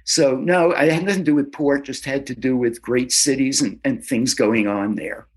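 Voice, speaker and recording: A man being interviewed as an author, answering in a casual, halting way and often repeating words as he starts a sentence. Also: mid-range voice, breathy edge. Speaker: author guest.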